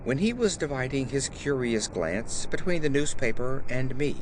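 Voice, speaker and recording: A man narrating a horror story as a dramatic audiobook, in a measured, literary storytelling manner. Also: medium pitch, background noise.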